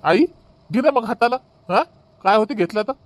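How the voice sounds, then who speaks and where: A man's voice: high voice, animated, normal speed. A man voicing an irritable, demanding village son and husband in a comic cartoon, repeating himself in a Vidarbha Marathi accent. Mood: irritated. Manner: demanding, repetitive. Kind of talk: exaggerated comic cartoon dialogue.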